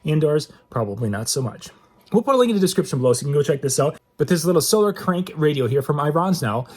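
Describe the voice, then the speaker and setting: A man's voice: fairly high pitch, big pitch swings, quick pace, darkish tone, slightly rough. A man giving a casual, unscripted product demo in a folksy, conversational way, repeating himself for emphasis. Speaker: product reviewer, host of an outdoor gear channel. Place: inside a metal building.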